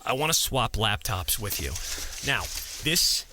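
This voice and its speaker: A man's voice: fairly low pitch, lively intonation, measured pace, background noise. An actor in an audio drama playing a guarded, courteous working man, with naturalistic, casual and sometimes hesitant delivery. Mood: urgent, earnest. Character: guarded, courteous working man, Earth-born knight with squire.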